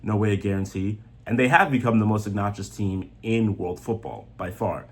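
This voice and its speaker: A man's voice: on the low side, animated, moderate pace. A man giving opinionated, off-the-cuff soccer commentary in a blunt, emphatic manner, repeating phrases for stress. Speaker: sports commentator.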